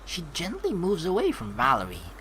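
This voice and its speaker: A woman hosting a let's play, reading story narration aloud and reacting with animated, excitable commentary. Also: on the low side, moderate pace, rough recording.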